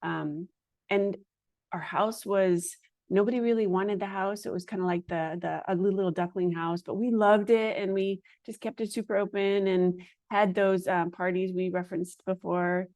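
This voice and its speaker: A woman's voice: mid-range voice, fairly even intonation, moderate pace, darkish tone, no echo. A woman podcast host who is a native Hungarian speaker, talking in a casual conversation and rambling with frequent hesitations.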